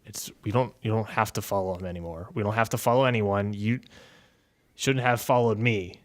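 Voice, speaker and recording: A man's voice: lowish voice, fairly even intonation, a little fast. A man playing a tabletop game, talking casually and slipping into his character's lines in his everyday voice. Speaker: player in a tabletop game podcast.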